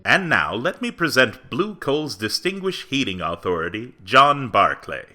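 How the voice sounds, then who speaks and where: A man acts as a 1940s radio program announcer. He reads formally in a mid-range voice, with clear plucked string music underneath. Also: mid-range voice, sing-song, a little slow. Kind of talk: old-time radio announcing, scripted.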